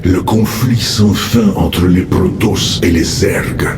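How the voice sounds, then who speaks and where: An actor playing a grave, brooding mutated alien ally in a video game dub, speaking solemnly. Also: male voice, low-pitched, heavy background noise. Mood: grave, warning. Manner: solemn, deliberate warnings. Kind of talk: dubbed video game cinematic acting. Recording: echoing room, music behind.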